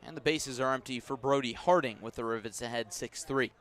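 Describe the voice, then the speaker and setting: A man with a mid-pitched voice works as a radio baseball announcer. He describes the game in measured, unhurried phrases and lifts his delivery when the ball is in play. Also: fairly bright tone. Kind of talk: live radio baseball commentary.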